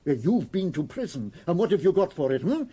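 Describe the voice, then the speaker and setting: Suave crooked lawyer, swooping mellow voice. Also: male voice, fairly high pitch, very animated, brisk, breathy edge. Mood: smooth, persuasive. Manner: suave, theatrical, mocking. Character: smooth, condescending crooked lawyer, cultured and menacing. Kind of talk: radio drama acting.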